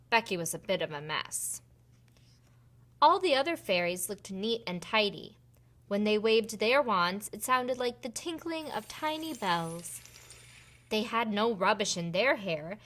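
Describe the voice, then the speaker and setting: A woman reading a picture book aloud for preschoolers in an expressive storytelling manner. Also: mid-range voice. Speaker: children's storybook reader and host.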